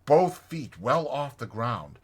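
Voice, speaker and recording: A man's voice: medium pitch, very animated, slow pace, slightly rough. A man reading a classic storybook aloud as a dramatized audiobook narrator.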